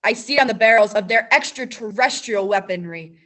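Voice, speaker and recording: A woman's voice: medium pitch, fairly quick, sharp tone, natural voice. A teenage girl playing a grandiose war correspondent in a school radio play, narrating battle scenes in lofty broadcaster style. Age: teens.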